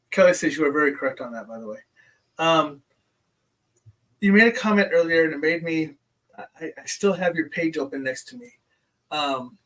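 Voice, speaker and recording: A man hosts a relaxed interview, his voice sitting fairly high for a man. He talks hesitantly, circling back with restarts and ums. He sounds a little distant from the microphone. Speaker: podcast host. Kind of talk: casual interview chat.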